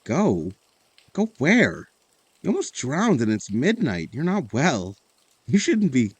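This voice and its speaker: A young man in an audio drama, a protective and confrontational friend. His voice has a rough, husky grain and swings widely in pitch. The recording is close and dry. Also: huge pitch swings, slow pace, no room sound, heavily acted. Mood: alarmed.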